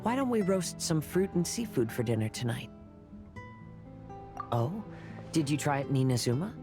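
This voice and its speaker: A man playing a gentle, polite young wanderer in a video game, soft and earnest. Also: on the high side, big pitch swings, moderate pace, music in the background. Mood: friendly. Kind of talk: video game cutscene acting.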